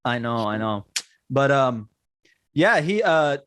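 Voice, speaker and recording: A man's voice: medium pitch, big pitch swings, takes it slowly, a bit bright, clean tone, no room sound, clean studio sound. A man in his forties with a Persian accent, who grew up in Iran, hosting a casual podcast chat full of fillers and repeated yeahs. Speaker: podcast host.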